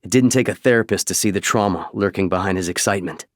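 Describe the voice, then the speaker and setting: A man's voice: low-pitched, fast talker, no echo, clean studio sound. A man reading scripted fiction as an audiobook narrator.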